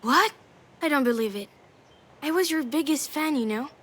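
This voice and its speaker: A woman's voice: high voice, lively intonation, normal speed, fairly bright tone. An actress voicing a cheeky, affectionate young daughter in a video game, with a playful, girlish, teasing manner. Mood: enthusiastic, teasing. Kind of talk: naturalistic video game drama acting.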